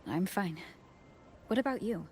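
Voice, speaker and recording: A woman playing a composed young traveller in a video game, softly spoken, breathy and sparing with words. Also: medium pitch, lively intonation, measured pace, slight background noise, natural voice. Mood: calm, curious.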